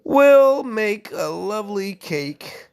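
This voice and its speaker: A woman's voice: mid-range voice, lively intonation, slow, a little mellow, clear, resonant tone. A woman voicing spiteful, scheming young stepsisters from a fairy tale in a gloating, sneering manner.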